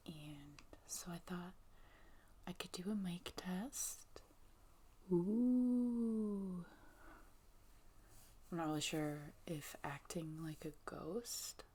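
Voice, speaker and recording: A woman whispering gently in a casual ASMR show and tell, soft-spoken and breathy, close to the microphone. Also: fairly low pitch, a little hiss or hum.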